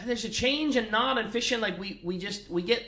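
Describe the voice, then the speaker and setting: Man, 30s, fishing radio co-host. Loud, powerful voice, slightly high, laughing storyteller. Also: fairly quick. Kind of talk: casual radio chat.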